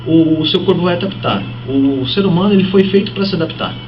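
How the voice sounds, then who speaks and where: A man's voice: on the high side, quick pace, faint music behind. A man with a Brazilian accent, speaking as a guest fitness trainer and explaining conversationally with examples. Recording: muffled, noisy, distant-sounding broadcast audio.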